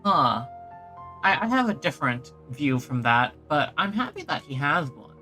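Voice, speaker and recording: A woman's voice: low-pitched, sing-song, moderate pace, sharp tone. A woman giving casual, brief reactions while playing and streaming a game. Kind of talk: casual gaming stream commentary. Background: game music.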